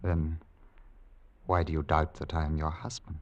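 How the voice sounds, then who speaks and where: An actor playing a tense English gentleman, formal and dramatic, in a 1940s radio drama. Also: extremely low pitch, little pitch movement, moderate pace, dull audio. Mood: earnest, tender. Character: tense English gentleman narrating his secret double life. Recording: old 1940s radio broadcast with music.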